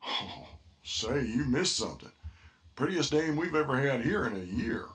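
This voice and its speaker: Casting: edgy undertaker's helper, sharp voice, animated slangy delivery, old-time radio horror. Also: male voice, mid-range voice, normal speed. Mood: brisk, gossipy. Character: nervous, gossipy working-class male undertaker's helper. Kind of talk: 1930s radio horror acting.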